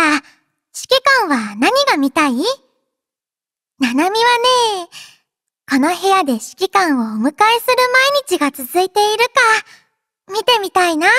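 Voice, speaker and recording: An affectionate, mischievous little robot girl from an anime game. Her voice is extremely high and childlike, swinging widely in pitch. She sounds cutesy and teasing, recorded very dry. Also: fairly bright tone, no room sound, exaggerated voice. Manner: cutesy, refers to herself in third person, teasing. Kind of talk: anime game character voice lines.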